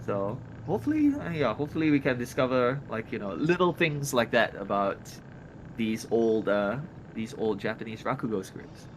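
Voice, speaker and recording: A man's voice: mid-range voice, sing-song, moderate pace, slight background noise, poor audio. A man co-presenting on a casual livestream, speaking hesitantly and often repeating his phrases as he goes. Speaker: co-presenter on a game project stream.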